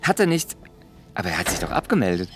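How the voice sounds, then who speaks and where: A man's voice: sits low, huge pitch swings, fast talker, over music. An actor playing a chummy, baffled male boss in a radio drama.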